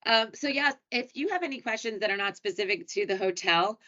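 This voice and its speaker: A woman's voice: mid-range voice, expressive, a little fast, pushed and bright. A woman from New York City with a New York accent, presenting a hotel webinar in a chatty, upbeat, self-deprecating way. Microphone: computer mic on a video call. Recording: muffled, lo-fi video call audio.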